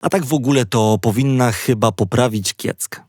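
A man narrating a scripted children's story with expressive storytelling delivery. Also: medium pitch, normal speed, dry recording, studio quality. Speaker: audiobook narrator.

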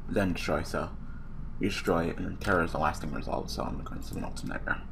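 A young man with a hoarse, worn-out voice commentating a card game online, swearing and ranting casually. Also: low voice, quick pace, background noise. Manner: profane, rambling, excitable rants. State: hoarse, losing his voice. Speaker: gaming channel host.

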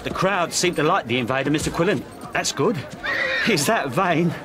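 An actor playing an anxious, plain-spoken jockey in a radio drama, clipped in manner. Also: male voice, on the high side, expressive, normal speed, noisy background, natural voice. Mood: nervous. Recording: crowd and music behind.

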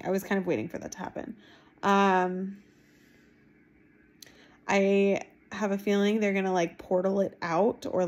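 A woman in her thirties chatting casually and emphatically to her phone at home, reacting in an animated, informal way. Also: mid-range voice, vocal fry, husky. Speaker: book vlogger giving reading reactions. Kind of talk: casual reaction chat to camera. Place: small room at home, indoors. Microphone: phone at arm's length.

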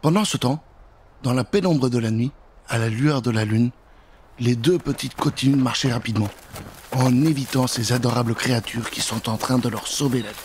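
A man's voice: medium pitch, normal speed, darkish tone, a little hiss or hum. A man in his thirties telling a children's Halloween story in a dramatic, suspenseful way at a studio microphone. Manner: theatrical, suspenseful. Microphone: studio microphone with pop filter.

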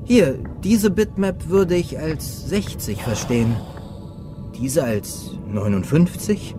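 Radio play casting: factual, focused space officer, a touch high-pitched, music behind. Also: male voice, animated, slow pace. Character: matter-of-fact male spacecraft technical officer. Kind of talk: German radio drama acting.

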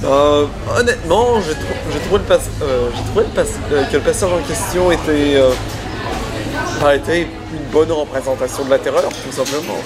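A young man with a voice a little on the high side. He speaks to camera in careful, formal phrasing but hesitates often as he searches for words. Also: normal speed, music in the background. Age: young adult. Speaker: vox pop interviewee. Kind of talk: opinion given to camera. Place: indoor hall by large window.

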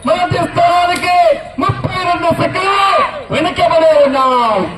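A man commentating on a rural race as live announcer, calling out excitedly with drawn-out whoops and repeated calls. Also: very high-pitched. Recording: noisy echoing loudspeaker outdoors, loud crowd. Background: loud crowd and noise.

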